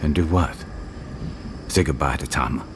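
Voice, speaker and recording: An actor playing a gruff, haunted veteran adventurer in a video game, weary and wry, with a gravelly voice. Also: male voice, normal speed, background noise. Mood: regretful, wistful. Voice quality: very deep, gravelly. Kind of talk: video game character acting. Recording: music behind the voice. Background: music.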